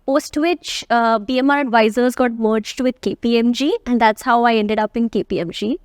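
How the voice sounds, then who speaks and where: High-voiced Indian woman guest. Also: steady tone, moderate pace, dry recording, studio quality. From Mumbai, India. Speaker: guest, tax advisory manager. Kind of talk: casual panel interview.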